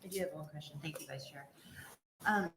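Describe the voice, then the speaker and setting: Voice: medium pitch, brisk, vocal fry, bright, forceful tone, a little hiss or hum. A state senator politely questioning a witness, hesitant and searching for words. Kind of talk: committee questioning. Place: legislative hearing room. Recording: echoey hearing room.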